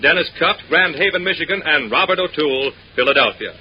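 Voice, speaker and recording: A man's voice: on the high side, lively intonation, brisk. A man announcing on a 1940s radio show in a scripted, declamatory way. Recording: dull, noisy 1940s radio recording.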